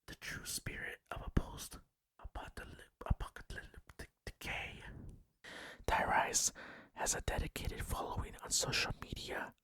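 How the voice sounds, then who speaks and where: A man whispering breathily and deliberately into a close microphone as he hosts an ASMR gaming commentary. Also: bright, forceful tone. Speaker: gaming video host.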